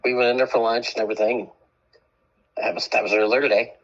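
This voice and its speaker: A man's voice: medium pitch, little pitch movement, quick pace, fairly bright tone, muffled recording. A man co-hosting a radio show while getting over a cold, chatting and telling stories with a laugh. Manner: chatty storyteller, laughs often. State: has a cold. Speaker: radio co-host. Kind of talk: casual on-air chat.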